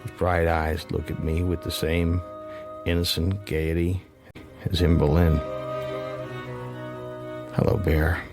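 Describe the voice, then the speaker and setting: An actor playing a haunted, introspective man who narrates his dark private thoughts in an intimate first-person radio drama. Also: sits low, flat delivery, slow pace, long pauses. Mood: numb, grieving. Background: music.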